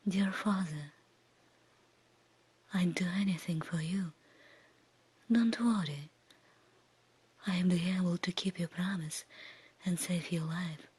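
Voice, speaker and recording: A devoted young daughter voiced by a woman speaks in a gentle, breathy whisper. She sounds resolute and reassuring, in a hushed storybook bedtime reading. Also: on the low side, steady tone, slow, long pauses, exaggerated voice.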